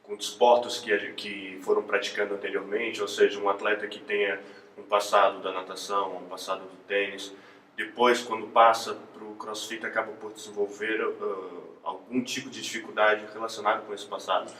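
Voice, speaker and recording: Male interviewer, European Portuguese accent, crisp forward tone on a lowish voice, informal questioning, picked up from a distance. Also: level tone, normal speed, a bit bright. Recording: roomy echo, distant mic, faint noise.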